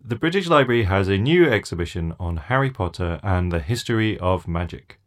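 A man's voice: sits low, expressive, fairly quick. A man reading a scripted events listing as a community radio presenter.

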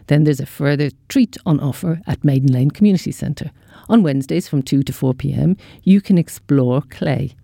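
Relaxed, slightly creaky radio presenter. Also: medium pitch, dry recording, studio quality. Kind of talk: scripted events listing narration.

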